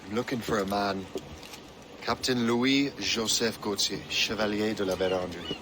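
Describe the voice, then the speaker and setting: A man's voice: lowish voice, steady tone, moderate pace, background noise, natural voice. A man playing a cocky, wry rogue hunter in a video game, with a dry, understated delivery. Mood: grave, determined.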